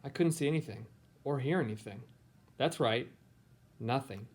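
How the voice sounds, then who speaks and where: A man's voice: mid-range voice, normal speed, darkish tone. A man with an American accent, a teacher who talks informally and reads picture books aloud. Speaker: teacher and read-aloud host. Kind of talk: picture book read-aloud, informal introduction.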